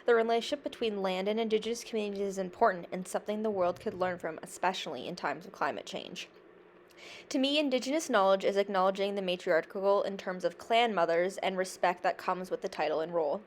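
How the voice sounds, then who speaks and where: A woman's voice: medium pitch, fast talker, a little hiss or hum. A young woman from Canada, a university student, reading a prepared class presentation in an earnest, careful way, with small slips and restarts. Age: young adult.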